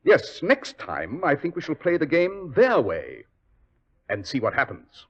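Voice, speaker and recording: An actor playing a cool, sardonic English master detective who lectures crisply with dry wit, in 1940s radio acting. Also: male voice, on the high side, huge pitch swings, measured pace, full character voice. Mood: wry. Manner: crisp, lecturing, dryly witty. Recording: dull lo-fi 1940s radio recording.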